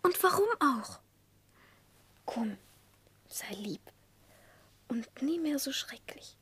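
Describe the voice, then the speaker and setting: A woman's voice: high-pitched, expressive, takes it slowly, a bit bright, slight background noise, exaggerated voice. An actress playing a childish, spoiled and fearful young fiancée in a 1960s German radio drama, pleading in a girlish, whiny pout.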